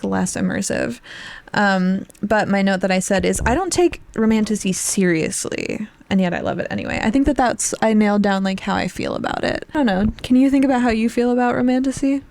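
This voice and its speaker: A woman's voice: a little high, brisk, gravelly, a little mellow, slight background noise. A young adult woman co-hosting a podcast, discussing books casually in an informal, candid and self-deprecating way. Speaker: podcast co-host.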